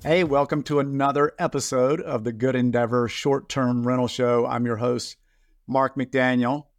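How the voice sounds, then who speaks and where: A man hosts an interview podcast and talks in a casual, conversational way. His pitch is mid-range and the recording is close and dry. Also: fairly even intonation, normal speed, no room sound, soft background music. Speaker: podcast host.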